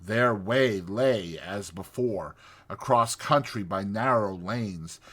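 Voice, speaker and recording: The man you would hear on a dramatized audiobook of an old children's classic, telling the tale theatrically in a lowish, keen-edged voice. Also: slow, fairly bright tone, slightly rough. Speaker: audiobook narrator. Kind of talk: dramatized storybook narration.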